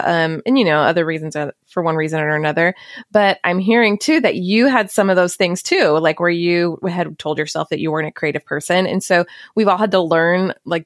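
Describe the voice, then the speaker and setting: A woman's voice: medium pitch, dry recording, clean studio sound. A woman hosting a podcast, talking openly and reflectively in casual conversation, laughing easily. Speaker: podcast host.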